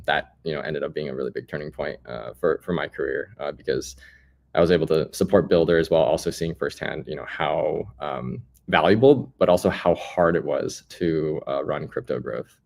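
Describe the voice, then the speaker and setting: A man with a very deep voice talks as a guest in an interview. He recounts his experiences in a casual, explanatory way, as if chatting through a story. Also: fairly quick, vocal fry, darkish tone. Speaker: guest, startup founder.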